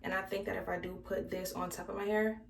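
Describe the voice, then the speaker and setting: A woman's voice: mid-range voice, level tone, quick pace, gravelly, slight background noise. A young woman talking to camera as she does her hair, casual and chatty. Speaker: beauty vlogger. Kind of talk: casual hair tutorial talk. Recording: roomy, from a distance.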